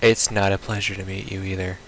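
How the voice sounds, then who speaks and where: Low-pitched gravelly man, baffled gamer. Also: little pitch movement, a little fast, fairly bright tone, rough recording. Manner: baffled, swearing reactions. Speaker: gamer playing a visual novel. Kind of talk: casual playthrough commentary, reading game text aloud.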